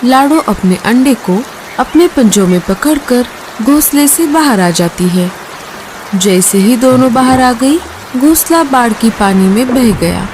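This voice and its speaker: A woman's voice: on the high side, expressive, measured pace. A woman narrating a scripted children's story as a storyteller. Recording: clear rain noise behind voice.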